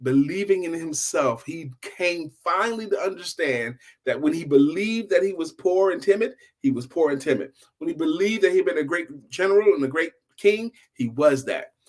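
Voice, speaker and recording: A man's voice: fairly high pitch, expressive, normal speed, few pauses. An older man telling an informal bedtime story in a folksy way, often repeating phrases for emphasis. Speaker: storyteller, host.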